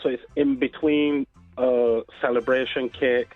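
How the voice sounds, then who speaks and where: A man's voice: medium pitch, fairly even intonation, unhurried. A man with a Barbadian accent, chatting casually as an interview guest. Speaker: interview guest, dessert business owner. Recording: tinny phone-like line, faint music.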